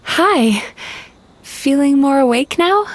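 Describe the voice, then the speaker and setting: Gentle, high-voiced dream spirit. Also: female voice, expressive, slow pace, no room sound. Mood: friendly, explaining. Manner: soothing, courteous, earnest. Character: gentle female dream-eating yokai therapist, polite and apologetic. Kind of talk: scripted video game voice acting. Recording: game music under the voice. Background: background music.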